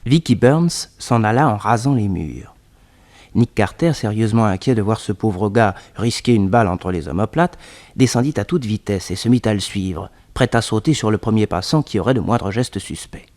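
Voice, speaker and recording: A male narrator of a radio detective serial. His voice sits fairly low, and he tells the story in a scripted, dramatic style. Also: lively intonation, moderate pace, darkish tone.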